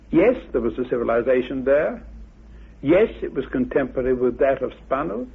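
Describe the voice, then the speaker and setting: A man speaking as an interviewed expert in a courteous, formal, scholarly way, politely firm in his judgements, with a gravelly, husky voice. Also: mid-range voice. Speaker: interviewed expert on Atlantis theories. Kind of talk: radio interview, measured scholarly exposition. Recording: muffled, band-limited radio recording.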